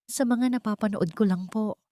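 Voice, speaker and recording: A woman's voice: mid-range voice, normal speed, dark tone, no echo, pitched above the performer's own voice, lightly acted. A woman with a Filipino accent voicing a polite, hesitant, clever young male mechanic in a narrated story. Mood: shy. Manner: deferential, hesitant.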